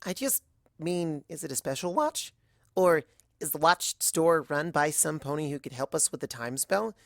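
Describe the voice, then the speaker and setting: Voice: medium pitch, expressive, moderate pace, creaky, no room sound, studio quality. A narrator voicing an anxious, brainy young female pony who talks in wordy, awkward bursts.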